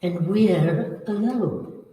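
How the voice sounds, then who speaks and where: A woman playing a menacing, bloodthirsty old female vampire in old-time radio horror style, with commanding, drawn-out phrasing. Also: mid-range voice, mellow. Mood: menacing, hungry. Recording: echoey hall, far from the mic.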